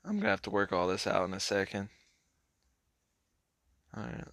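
A young man casually commenting on a mobile card game as he plays, hoarse and clearing his throat, his voice trailing off in a laid-back mumble. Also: deep voice, very animated, normal speed, fairly bright tone, no echo, studio quality.

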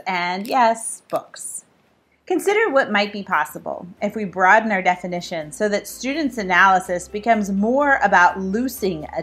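A woman author reading aloud from her nonfiction book, explaining in measured phrases. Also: medium pitch, fairly bright tone. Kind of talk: scripted read-aloud of nonfiction.

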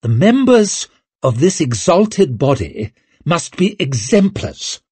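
A man's voice: medium pitch, big pitch swings, slow pace, slightly rough, heavily acted. A man voicing an angry young king in an audiobook, icy, imperious and snapping out commands. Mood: icy judgement. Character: angry young king, cold, imperious, passing stern judgement.

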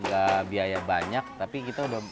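Deep-voiced man, enthusiastic, very noisy spot. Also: normal speed. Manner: repeats himself, enthusiastic. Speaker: restaurant customer. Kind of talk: casual interview. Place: open bamboo hut restaurant. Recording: loud outdoor noise.

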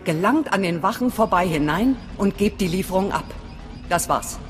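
Eine Schauspielerin als strenge, zurückhaltende Anführerin einer Widerstandszelle in einem Videospiel, befehlend und sachlich. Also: female voice, medium pitch, animated, moderate pace, lightly acted. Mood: commanding. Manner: commanding, matter-of-fact. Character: stern, guarded female resistance cell leader. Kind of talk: dramatic video game dialogue in German. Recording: long hall reverb. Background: background music.

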